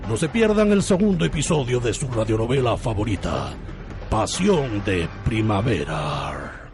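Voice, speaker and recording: A man's voice: mid-range voice, sing-song, a little slow, vocal fry, over music. A man with an accent from Spain, narrating comic radio in a theatrical, mock-grandiose manner. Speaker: radio segment host and narrator.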